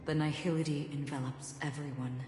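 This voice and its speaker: An actress playing a solemn, enigmatic wandering woman warrior in a video game, speaking in a measured, stoic way. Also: deep voice, steady tone, noisy background, natural voice. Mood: solemn, detached. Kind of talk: scripted video game acting. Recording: echoey, with loud music and rain behind.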